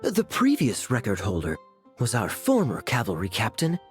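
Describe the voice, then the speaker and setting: Very low, teasing, suave knight. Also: female voice, huge pitch swings, moderate pace, faint music behind, heavily acted. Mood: amused, teasing. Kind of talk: video game voice acting.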